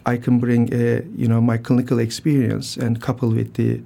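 An older man with a Turkish accent speaks in a gravelly, mellow voice. He answers radio interview questions haltingly, restarting sentences, filling pauses and often clearing his throat. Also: medium pitch, monotone. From Turkey. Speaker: transplant surgeon guest.

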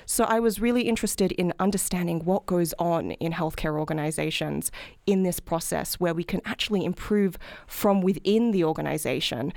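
Australian woman in her 30s, academic guest, lower voice, dry close sound; articulate and explanatory. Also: creaky, a little mellow, dry recording. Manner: articulate, explanatory, frequent "sort of". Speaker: guest, healthcare management researcher. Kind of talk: interview answers.

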